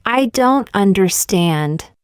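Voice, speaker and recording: A woman reading short practice sentences aloud for learners, saying each one twice, slowly and carefully. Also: mid-range voice, animated, clear, resonant tone, dry recording, clean studio sound. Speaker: English teacher.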